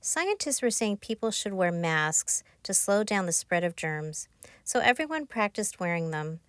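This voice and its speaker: A woman narrating a children's picture book, reading aloud in simple, clear phrases for young listeners. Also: medium pitch, big pitch swings, unhurried, fairly bright tone, no echo. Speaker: author narrating her own children's book. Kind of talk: scripted children's storybook narration.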